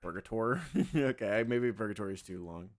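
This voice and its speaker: A man's voice: on the low side, brisk. Casual man with a slightly scratchy voice. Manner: relaxed, rambling, talks to chat. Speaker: streamer. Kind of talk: casual livestream chat.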